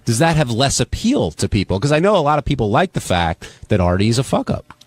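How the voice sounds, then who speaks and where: A man's voice: medium pitch, big pitch swings, brisk, breathy edge, no echo. A man hosting a radio call-in show, asking probing questions.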